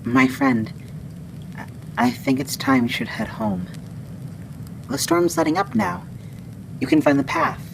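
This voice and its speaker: Over a noisy ambience, a female wendigo, played by a woman, speaks slowly with a heavy creak. She is a halting, fiercely protective creature from a roleplay audio drama, friendly yet haunted. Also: wildly swooping pitch, heavily acted. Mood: gentle reassurance. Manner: halting, deliberate. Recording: noisy low background ambience.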